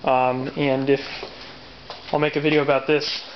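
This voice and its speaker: A man with an average-pitched voice casually walks viewers through a DIY job step by step. He is matter-of-fact and pauses to find his words. The audio is dull camera-mic sound from a garage, with some light background noise. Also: little pitch movement. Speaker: DIY tutorial presenter. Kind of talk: casual unscripted how-to explanation. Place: garage or workshop with machinery. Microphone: handheld camera's built-in mic.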